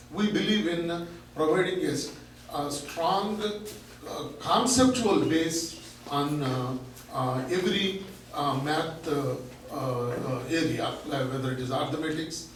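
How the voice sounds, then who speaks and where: Loud teacherly man in echoey hall. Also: on the high side, unhurried. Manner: loud, teacherly, explains with rhetorical questions. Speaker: guest speaker, learning center founder. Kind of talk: public talk to an audience. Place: large echoey room. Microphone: microphone at a distance. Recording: echoey room from far off, faint noise.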